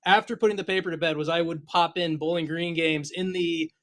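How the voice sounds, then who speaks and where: High-pitched American man telling a story. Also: steady tone, fairly quick, a bit bright. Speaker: podcast co-host, sports journalist. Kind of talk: casual chat, storytelling.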